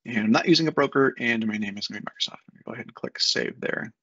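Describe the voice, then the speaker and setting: Gravelly husky man, casual tutorial. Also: mid-range voice, very fast, fairly bright tone, no echo. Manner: casual step-by-step narration with fillers. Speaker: software consultant. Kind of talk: screen-share tutorial walkthrough. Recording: dull lo-fi dry sound.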